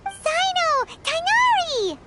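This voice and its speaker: Squeaky, very high tiny companion. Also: female voice, big pitch swings, slow, heavily acted. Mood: excited, curious. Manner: squeaky, eager, exclamatory. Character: tiny, excitable, curious childlike companion creature. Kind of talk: video game voice acting. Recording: game dialogue under loud music.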